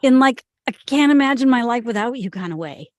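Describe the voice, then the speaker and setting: High-pitched lovestruck young boyfriend, read by a woman. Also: expressive, fairly quick, lightly acted. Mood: tender, frustrated. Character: lovestruck, earnest young boyfriend, blue-collar detective. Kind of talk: table read of a TV script.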